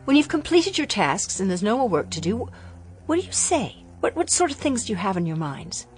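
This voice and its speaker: A young actress playing a rebellious, impassioned daughter in a radio drama. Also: female voice, mid-range voice, sing-song, moderate pace, soft background music, natural voice. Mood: earnest. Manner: emotional, defiant, impassioned.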